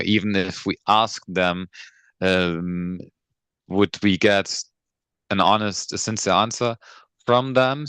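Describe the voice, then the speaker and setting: A man's voice: low-pitched, fairly bright tone, smooth, no echo. A German man whose first language is German, hosting a casual podcast talk and asking questions with plenty of hedging fillers. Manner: questioning, hedging with lots of "you know" and "um". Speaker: podcast host. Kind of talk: casual conversation.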